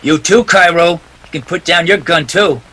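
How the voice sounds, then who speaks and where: Man playing a bright-voiced, hard-boiled noir detective. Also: a little high, normal speed, a little hiss or hum, low-quality recording. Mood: defiant. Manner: hard-boiled, clipped, defiant. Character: tough, wisecracking male private detective in 1940s noir. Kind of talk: 1940s radio drama acting with first-person narration.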